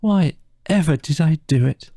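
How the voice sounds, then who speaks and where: Man voicing a moaning, self-pitying mole, pitched up. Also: animated, unhurried, mellow, no room sound, studio quality. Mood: self-reproachful. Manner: moaning, stammering, doleful. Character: gloomy, self-pitying mole, dismal and apologetic. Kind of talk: dramatised storybook reading.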